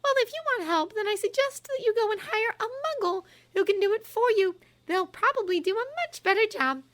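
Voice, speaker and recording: A woman's voice: extremely high pitch, expressive, a little fast, fairly bright tone, pitched above the performer's own voice. A woman voicing a clever young witch who speaks with cool, relentless contempt. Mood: contemptuous.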